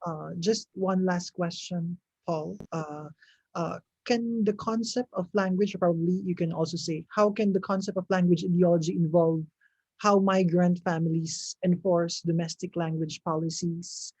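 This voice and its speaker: A man's voice: sits high, steady tone, moderate pace, darkish tone, dry recording. A man from the Philippines, politely moderating an online Q&A over a video call. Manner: polite, hesitant. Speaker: event moderator. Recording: dull lo-fi video call audio.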